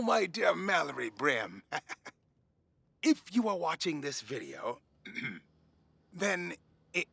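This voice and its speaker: High, animated, eccentric mentor. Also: male voice, creaky, no echo, full character voice. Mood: exuberant, fond. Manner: theatrical, verbose, playful. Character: eccentric late male radio newsman, fond, theatrical, whimsical. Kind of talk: audio drama acting, recorded video message.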